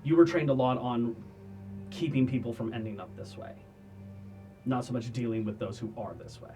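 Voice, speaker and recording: A man serves as dungeon master, laying out a grim scene for his players at an unhurried pace. He speaks in a plain, mid-pitched voice. Faint music plays under him. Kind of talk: narrating a tabletop game.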